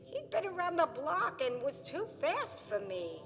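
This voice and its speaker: A woman's voice: high-pitched, huge pitch swings, a little slow, heavily acted. A woman playing a gushing, wounded housewife and mother in an afterlife radio play. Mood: incredulous. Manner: gushing, exclamatory. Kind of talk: theatrical radio play acting. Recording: long hall reverb with music behind.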